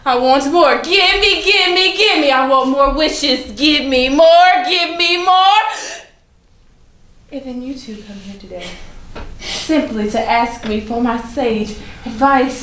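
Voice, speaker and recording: A woman's voice: sits high, takes it slowly, fairly bright tone. A woman acting a haughty, mischievous ancient enchanted bird in a staged play reading, with theatrical, grand phrasing. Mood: tearful, exasperated. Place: echoey room at a staged reading. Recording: echoey room, noticeable background noise.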